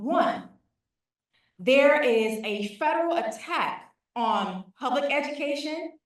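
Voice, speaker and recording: Woman founder, prepared pitch, slightly high voice, distant and echoey hall sound.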